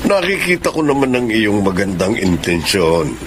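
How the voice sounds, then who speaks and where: A man playing a kindly, trusting father of a grown daughter with a Filipino accent in a radio drama, gentle and earnest. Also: lowish voice, sing-song, normal speed. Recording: noisy ambient background.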